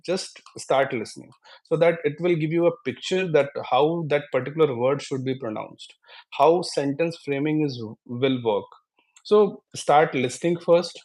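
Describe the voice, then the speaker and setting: A man speaks with an Indian accent in the explanatory way of a trainer giving advice in a live session. His pitch sits in a middle range for a man. He is earnest and often repeats a point to drive it home. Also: darkish tone. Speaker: guest English trainer.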